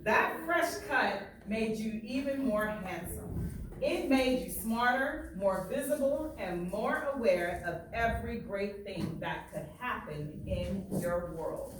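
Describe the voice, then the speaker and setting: A woman's voice: medium pitch, animated, slow pace. A woman teacher reading a book aloud, stopping to explain passages plainly and check that listeners understand. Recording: very echoey room, distant, faint noise.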